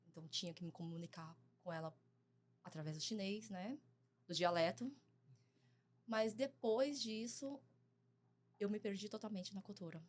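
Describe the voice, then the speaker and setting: A woman in her forties from Brazil, talking as a guest in a casual interview, soft-spoken and hesitant with frequent pauses and fillers. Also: lowish voice, slight background noise. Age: 40s.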